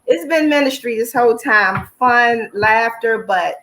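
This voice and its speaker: A woman's voice: a little high, animated, unhurried, a bit bright. A woman speaking casually and affectionately as a cast guest, effusive in her heartfelt thanks. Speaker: stage play cast guest.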